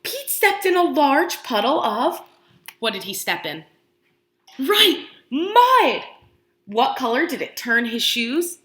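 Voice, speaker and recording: A woman reads a picture book to small children as their teacher, in an unhurried, lively, swooping voice. She puts questions to the listeners and waits for replies, exaggerating her words to encourage them. The room adds a clear echo. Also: sits high. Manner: expressive, asking questions and pausing for answers; exaggerated, encouraging talk aimed at young children. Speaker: pre-K teacher reading aloud to children. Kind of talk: interactive children's picture book read-aloud.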